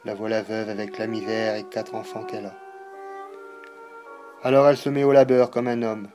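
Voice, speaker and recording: A man giving a solemn, dramatic poetry recitation, declaiming in measured phrases with pauses. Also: fairly low pitch, level tone, dark tone, soft background music.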